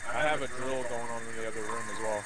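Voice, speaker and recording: A young man with a mid-range voice gives relaxed, cautious advice during a game. Loud crowd noise fills the background behind him. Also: medium pitch, fast. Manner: laid-back, gives cautious strategy advice. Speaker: friend in voice chat. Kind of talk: casual gaming chat.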